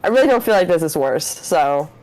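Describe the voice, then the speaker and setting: A young woman tabletop player giving a relaxed, rambling closing remark. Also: low voice, a little fast, crowd noise, low-quality recording. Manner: relaxed, filler-heavy, shrugging. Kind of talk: casual chat.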